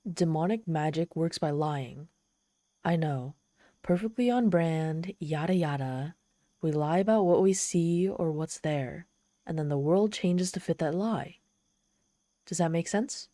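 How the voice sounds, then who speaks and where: Low, mellow, sardonic demon woman, acted out by a woman. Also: animated, no echo, clean studio sound. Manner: exasperated, sardonic, pleading, halting when vulnerable. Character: trapped tsundere female demon, proud, sardonic, desperately lonely. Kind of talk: ASMR roleplay monologue, dramatic storytelling.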